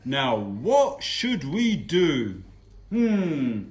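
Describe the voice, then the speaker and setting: A theatrical storybook king acted by a man ponders aloud in rhyme. His speech is very slow and swings widely in pitch. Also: big pitch swings, darkish tone, heavily acted. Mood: restless pondering. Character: pondering, dramatic male king of ancient Persia.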